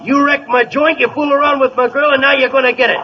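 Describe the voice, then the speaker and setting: Brash, high-voiced gangster boss. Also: male voice, steady tone, quick pace, crowd noise. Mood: indignant. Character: brash small-time gangster boss, indignant, wisecracking gambling operator. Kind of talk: 1940s radio comedy acting. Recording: muffled, noisy 1940s radio recording.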